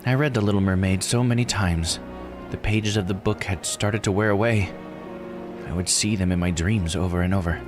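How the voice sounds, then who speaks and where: A man reading as a low-voiced, regretful woman diver. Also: normal speed, lightly acted. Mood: wistful. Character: regretful, weary woman diver confiding her past. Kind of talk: reading visual novel dialogue aloud. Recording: music under the voice. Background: game music.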